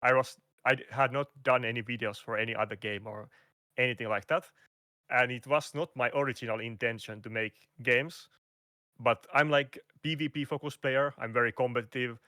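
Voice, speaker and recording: Finnish-accented man, bright and dry. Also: medium pitch, fairly even intonation, fairly quick, no echo. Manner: hesitant, restarts and fillers, non-native phrasing. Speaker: guest content creator. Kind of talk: casual interview over a call.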